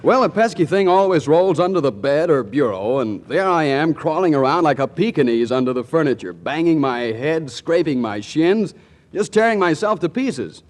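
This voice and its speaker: Male announcer, slightly high, very animated, folksy comic sales patter, 1940s radio. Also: moderate pace. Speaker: announcer and cigarette pitchman. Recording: old 1940s radio broadcast.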